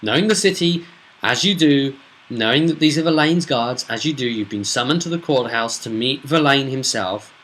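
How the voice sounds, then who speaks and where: Male game master, mid-pitched and sharp-edged, delivering a casual, chatty solo campaign recap with punchy asides. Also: lively intonation, moderate pace, low-quality recording.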